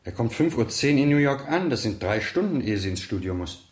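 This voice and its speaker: Man acting worried insistent husband, crime radio play, clipped questions, animated pitch, reverberant room. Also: brisk, natural voice. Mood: puzzled, concerned.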